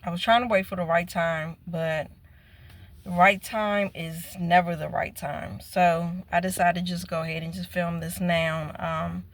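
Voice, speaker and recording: A woman in her fifties talking slowly and earnestly to her viewers in a casual personal vlog. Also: fairly low pitch, fairly even intonation, no echo. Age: 50s. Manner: slow, reflective, earnest. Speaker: vlogger.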